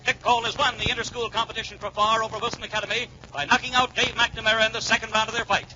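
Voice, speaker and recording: An actor playing an excited ringside announcer calling a school boxing match in dramatic 1940s radio style. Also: male voice, high voice, close to an everyday voice. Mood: thrilled. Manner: rapid-fire, dramatic, emphatic repetition. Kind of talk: 1940s radio blow-by-blow commentary. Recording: muffled, noisy 1940s radio, roaring crowd.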